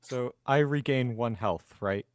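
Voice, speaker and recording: A man's voice: medium pitch, unhurried, clean tone, no echo, studio quality. A man playing a tabletop roleplaying game, chatting casually with the group. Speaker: player.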